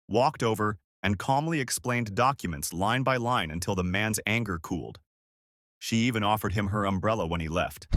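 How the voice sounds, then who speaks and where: A man narrates a scripted story with a dramatic, measured delivery. His pitch moves with plenty of animation from phrase to phrase. The sound is dry, with no room around the voice. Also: fairly bright tone, no echo.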